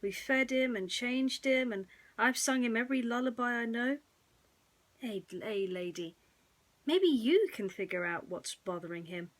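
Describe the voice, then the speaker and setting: A woman voicing a gentle, groggy young father in a bedtime story. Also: a little high, lively intonation, unhurried, voice pushed up, rough recording. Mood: weary, kindly. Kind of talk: read-aloud bedtime story dialogue.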